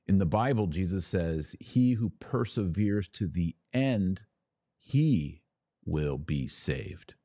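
A man hosting a podcast, giving a religious teaching monologue in an emphatic, deliberate way with dramatic pauses and rhetorical questions. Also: low voice, expressive, warm tone, dry recording, studio quality. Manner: didactic, preacher-like rhetorical questions. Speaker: podcast host.

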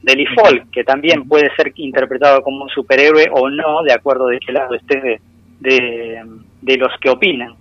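A man explaining things conversationally as a podcast guest, often pausing to find his words. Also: medium pitch, fairly even intonation, no echo. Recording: dull, dry, radio-like sound.

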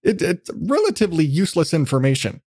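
A man's voice: on the high side, big pitch swings, quick pace, a little mellow, breathy edge, dry recording, clean studio sound. A man hosting a casual podcast interview, talking in a rambling, self-deprecating way with casual swearing. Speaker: podcast host.

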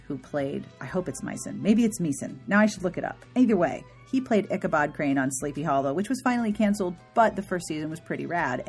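A woman's voice: fairly low pitch, darkish tone, breathy edge. A woman podcast host giving a chatty, informal and enthusiastic intro with playful asides.

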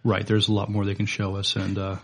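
A man co-hosting a podcast, reading news and chatting casually in a halting, plain-spoken way. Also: fairly low pitch, monotone, a bit bright. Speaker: podcast co-host.

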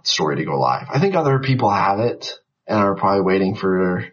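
A tired man with a shrill voice, talking hesitantly as a podcast guest about baseball. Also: very animated, fairly quick. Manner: hesitant, many fillers and restarts. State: tired from a late night. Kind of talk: casual podcast chat. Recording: lo-fi podcast mic.

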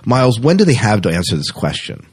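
A man's voice: fairly low pitch, huge pitch swings, fast, darkish tone, husky. A man co-hosting a podcast, talking in an informal, rambling, conversational way. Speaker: podcast co-host. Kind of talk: casual podcast chat.

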